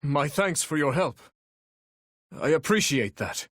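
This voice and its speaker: Noble young warrior prince, game role: courteous, resolute, formal diction, higher-set male voice with sweeping inflection. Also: animated, a little slow, fairly bright tone, no echo, studio quality. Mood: warm, grateful. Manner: formal, courtly, earnest delivery. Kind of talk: video game battle voice lines.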